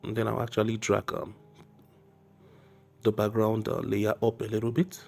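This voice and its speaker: Man, tutorial narrator, gravelly and hoarse with a cold, hesitant step-by-step delivery, faint music behind. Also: lowish voice, level tone, moderate pace, a little mellow. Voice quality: slightly hoarse. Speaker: graphic design tutorial host. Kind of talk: casual software tutorial narration.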